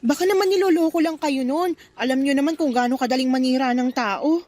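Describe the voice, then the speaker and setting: Actress as devoted, defensive wife, high voice, earnest radio drama delivery. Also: female voice, fairly quick, a little mellow, lightly acted. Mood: indignant. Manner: pleading, earnest. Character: loyal middle-aged wife and daughter, trusting, defensive. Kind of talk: Tagalog radio drama acting.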